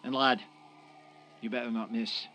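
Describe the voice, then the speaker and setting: Sharp, threatening Irish tenant. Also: male voice, medium pitch, steady tone, measured pace. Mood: grudging. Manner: threatening, blunt, colloquial. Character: gruff Irish tenant confronting an intruder, wary and aggrieved. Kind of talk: radio drama acting.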